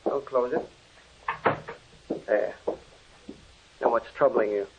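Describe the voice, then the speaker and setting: An actor playing a renowned, genial scientist, hearty and solicitous, in old-time radio drama style. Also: male voice, mid-range voice, slow pace. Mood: surprised, concerned. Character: renowned male scientist, genial, unsuspecting creator of life. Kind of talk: 1940s radio acting. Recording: crackly, noisy, muffled old radio recording.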